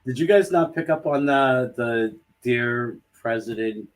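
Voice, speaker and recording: A man's voice: mid-range voice, measured pace. A man chatting on a casual podcast, explaining things in a matter-of-fact way with some hesitation. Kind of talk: casual chat.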